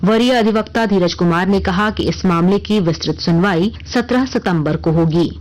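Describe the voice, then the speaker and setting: Low-pitched woman reading radio news. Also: normal speed, darkish tone, rough recording. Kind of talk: scripted news bulletin reading.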